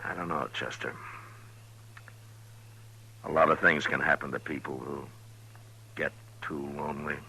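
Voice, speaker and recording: Very deep, stern Western marshal. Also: male voice, fairly even intonation, vocal fry, pushed and bright, exaggerated voice. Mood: grim, somber. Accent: American. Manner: grave, terse, measured. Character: stern, steady frontier marshal of the Old West, grown man. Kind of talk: 1950s radio western acting. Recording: faintly noisy old 1950s radio recording.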